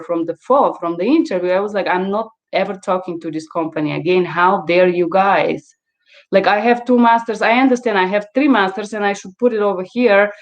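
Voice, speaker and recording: A woman's voice: mid-range voice, darkish tone. Emphatic woman. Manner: emphatic, blunt, repeats words for stress. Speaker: interpreter and mentor hosting a livestream. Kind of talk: informal live advice talk to viewers.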